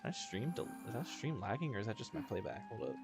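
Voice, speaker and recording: A man's voice: medium pitch, lively intonation, quick pace. A man livestreaming a video game in casual, slangy, joking and self-deprecating chat. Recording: game music behind the voice. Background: video game music.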